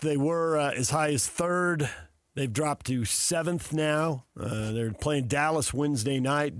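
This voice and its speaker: An American man hosting sports talk radio with a medium-pitched voice. He explains things at length and with emphasis in a conversational way, close on the mic with a dry sound. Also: no echo. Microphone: close studio microphone.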